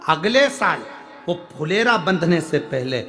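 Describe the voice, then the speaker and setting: An Indian man from Madhya Pradesh giving a devotional sermon, reading a listener's letter aloud, emphatic and alternating loud declarations with hushed lines. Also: fairly high pitch, very animated, measured pace, soft background music. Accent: Madhya Pradesh Hindi. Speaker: katha preacher. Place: large outdoor religious gathering.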